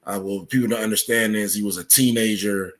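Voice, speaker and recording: A man giving explanatory answers as an interview guest, speaking in a halting way with frequent filler phrases. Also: lowish voice, fairly even intonation. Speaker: podcast guest, researcher.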